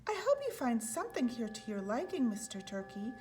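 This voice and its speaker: A woman's voice: a little high, big pitch swings, a little fast, a little mellow, slight background noise. A woman voicing a gracious, welcoming female moose hostess in a storybook, politely fussing over her guests. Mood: gracious, happy.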